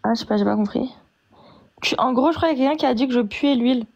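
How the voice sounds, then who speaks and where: A woman's voice: sits high, fast, gravelly, a little mellow, highs cut off. A woman with a Paris suburban accent, a direct and questioning guest in a casual live chat.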